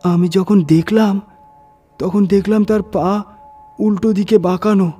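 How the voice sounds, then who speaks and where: A man's voice: high-pitched, fairly even intonation, a little slow, warm tone, husky, faint music behind, pitched above the performer's own voice. A man voicing a frightened, superstitious young truck hand who speaks urgently and deferentially to his boss in a horror audio story. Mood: shaken. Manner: alarmed, urgent, addressing his boss respectfully.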